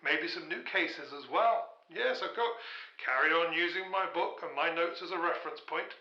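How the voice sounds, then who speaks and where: A man's voice: on the high side, moderate pace, sharp tone. A man playing an affable author in an audio drama, talking casually and a little hesitantly, recorded with a roomy, slightly dull sound.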